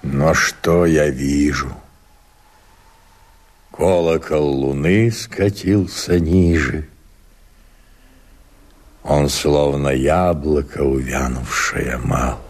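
An older man, an actor playing a weary, solemn old watchman who slowly declaims verse in a radio play, brooding and husky-voiced. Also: extremely low pitch, fairly even intonation, exaggerated voice. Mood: solemn musing. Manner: slow, declamatory, pensive.

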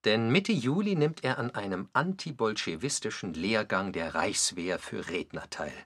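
A man's voice: lowish voice, animated, moderate pace, fairly bright tone. A man giving explanatory, musing commentary in a documentary radio play. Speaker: narrator and commentator.

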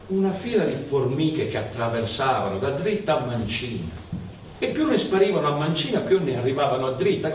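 A man narrating a folk tale theatrically, in a dramatic, incantatory manner with repeated phrases. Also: fairly high pitch. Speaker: storyteller and stage actor. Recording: roomy, distant mic, faint background noise.

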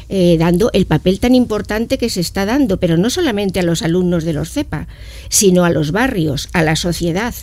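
Earnest woman explaining with many pauses. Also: medium pitch, a little mellow. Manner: earnest, explanatory, with frequent hesitations. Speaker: association representative. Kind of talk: radio studio interview. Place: radio studio.

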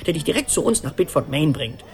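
An actor in a radio play voicing an anxious middle-aged man who tells his story in an urgent, animated, rambling conversational monologue. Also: a little high, fast talker. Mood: animated recounting. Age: 40s.

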